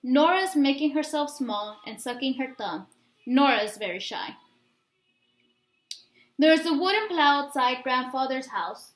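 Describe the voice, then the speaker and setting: High-voiced woman reading a children's story. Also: animated, unhurried, long pauses, soft background music. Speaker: story reader. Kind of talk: children's read-aloud narration. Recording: echoey room.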